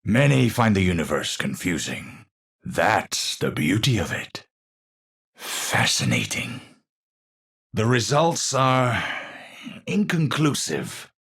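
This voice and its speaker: An actor playing an eccentric, unstable Dutch astrophysicist in a video game, learned and grandiose, with theatrical, professorial diction. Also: male voice, on the low side, wildly swooping pitch, gravelly, fairly bright tone, breathy edge, heavily acted. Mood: curious, pondering, then brooding, perplexed. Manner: theatrical, professorial, slow and deliberate wording.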